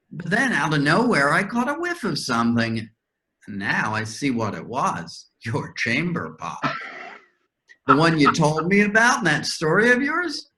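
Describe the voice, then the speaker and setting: A woman's voice: fairly low pitch, sharp tone, a little hiss or hum, exaggerated voice. A woman playing a talkative, droll character recovering from amnesia in a staged play reading. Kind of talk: virtual readers theater play reading.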